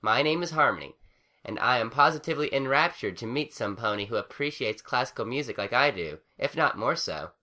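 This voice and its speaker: A man's voice: mid-range voice, lively intonation, a little fast, bright, forceful tone, pitched above the performer's own voice. A man voicing a refined young pegasus mare who talks in a prim, formal way.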